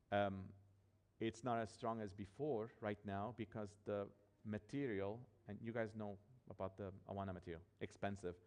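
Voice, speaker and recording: A man from Lebanon, English not his first language, presenting his church's ministry to a congregation with many pauses and fillers. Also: lowish voice, a little mellow. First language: Arabic or French. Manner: halting, many fillers and restarts. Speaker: missionary pastor.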